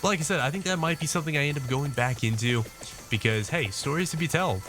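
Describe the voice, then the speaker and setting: A man's voice: on the high side, fast, a bit bright, soft background music. A man casually commenting on his gameplay as a video host, rambling with many fillers and restarts, his nose a bit congested.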